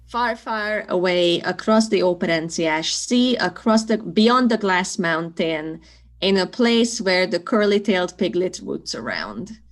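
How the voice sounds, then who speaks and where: A woman from Hungary, speaking English as a second language with a Hungarian accent, talking thoughtfully as a storyteller guest and laughing often. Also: mid-range voice. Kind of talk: conversational interview.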